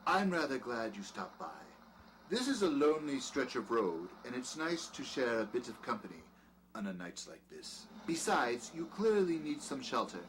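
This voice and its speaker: Man playing a genteel, animated mansion doctor. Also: mid-range voice, moderate pace, poor audio. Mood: courteous, welcoming. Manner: formal, courteous, old-fashioned phrasing. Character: genteel, hospitable, faintly sinister mansion doctor. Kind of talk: audio drama acting. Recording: faint background noise.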